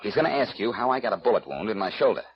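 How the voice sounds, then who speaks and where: An actor playing a quick-witted, breezy, wisecracking amateur sleuth in a 1940s radio detective drama. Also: male voice, fairly low pitch, animated, natural voice. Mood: brisk, scheming. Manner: breezy, teasing, wry. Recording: muffled, very noisy old radio recording.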